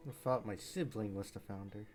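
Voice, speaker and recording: A man's voice: lowish voice, fairly even intonation, a little fast, a little mellow, soft background music. A man streaming a video game live, muttering casual commentary to himself as he plays.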